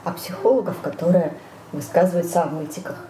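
A woman's voice: fairly low pitch, brisk, dark tone. A woman co-host chatting casually in an inquisitive, wry way, often putting questions. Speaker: podcast co-host. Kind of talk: casual chat. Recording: roomy echo, faint background noise.

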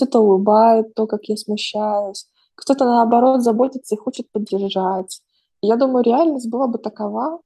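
A woman's voice: mid-range voice, dark tone. A woman, a psychologist chatting casually and reflectively, thinking aloud with frequent fillers. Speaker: podcast host, psychologist. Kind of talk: casual conversational chat. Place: home.

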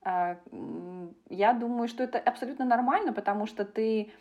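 A woman who coaches English pronunciation, a podcast guest, speaking thoughtfully and pausing with hesitation sounds. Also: a little high, darkish tone, dull audio. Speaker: guest, British accent specialist. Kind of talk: casual podcast conversation.

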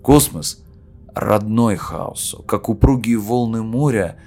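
A man with a lowish voice reads a historical speech as a podcast host. His delivery is solemn and declamatory, like formal oratory. Music plays softly underneath him. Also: slow. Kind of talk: dramatic recitation of a historical speech with spoken intro.

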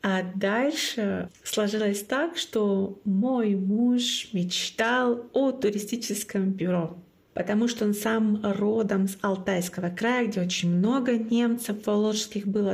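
A woman's voice: mid-range voice, creaky, darkish tone. A woman from Northern Russia whose first language is Komi, a guest in an interview telling her personal story in an earnest way and repeating key phrases for emphasis. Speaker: guest, club founder.

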